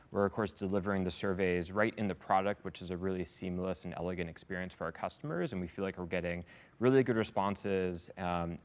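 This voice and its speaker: A man giving polished, upbeat answers in a business interview, speaking as a software company executive. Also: low voice. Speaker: head of sales, success and support at a software company, interviewed as a customer.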